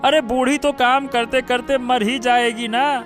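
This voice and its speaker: A man's voice: extremely high pitch, steady tone, fairly bright tone. A man voicing a sneering, meddlesome village minister in a Hindi cartoon moral story. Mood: mocking, taunting. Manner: taunting, drawn-out questioning tags. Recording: music bed under the voice.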